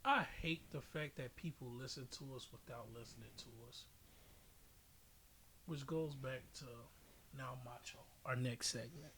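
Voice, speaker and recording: A man's voice: medium pitch, measured pace, fairly bright tone, a little hiss or hum. A man hosting a podcast in casual, opinionated chat with a blunt way of talking. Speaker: podcast host.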